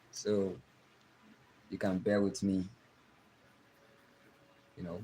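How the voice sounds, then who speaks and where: A young man with a Nigerian accent, giving a live drawing tutorial and talking softly as he works. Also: low voice, flat delivery, slight background noise, low-quality recording. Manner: slow, soft-spoken, trailing off while drawing. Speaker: art teacher streaming live.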